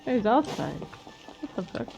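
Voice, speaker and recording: A woman's voice: big pitch swings, unhurried, vocal fry. A woman reacting casually to a game in a blunt, exclaiming way. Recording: muffled low-fidelity mic over game music.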